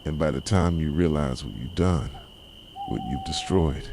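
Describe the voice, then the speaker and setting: Man acting out a possessive yandere boyfriend, very deep soft male voice, bitter, reproachful, ASMR roleplay, noisy night insects in background. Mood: cold, resentful. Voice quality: soft, low voice. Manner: intense, deliberate, reproachful dramatic delivery. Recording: clearly noisy, night insects and owl hoots.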